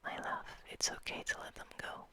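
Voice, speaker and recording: A woman hosting ASMR in a breathy whisper, speaking in a soft, soothing, unhurried manner. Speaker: ASMR host.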